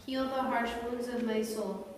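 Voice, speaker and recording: A woman chanting and reading liturgical prayers in a measured, solemn manner. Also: a little high, fairly even intonation. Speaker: church reader and chanter. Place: large reverberant indoor space. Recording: echoey church-like hall, distant microphone, faint noise.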